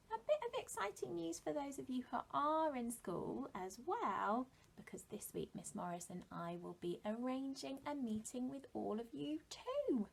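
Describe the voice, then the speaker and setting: A British woman in her thirties talks to young children as their teacher. Her voice is fairly high and husky, swinging widely in pitch as she puts questions to her listeners in an expressive storytelling way. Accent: British English. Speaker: primary school teacher. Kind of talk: animated talk and storybook reading to young children.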